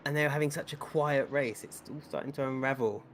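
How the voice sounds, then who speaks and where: A man's voice: medium pitch, slight background noise. A man leading live sim racing commentary, playful and teasing with his co-hosts while calling the action. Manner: playful, teasing, quick race calls. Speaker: lead commentator.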